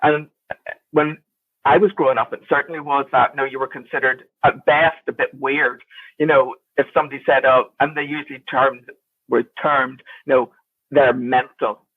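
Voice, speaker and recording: A woman's voice: very deep voice, steady tone, moderate pace, slightly rough, no echo. A woman with a Northern Irish accent, a guest telling a long, chatty story in an interview. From Northern Ireland. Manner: chatty and anecdotal, with frequent restarts. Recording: tinny phone-like line, dry.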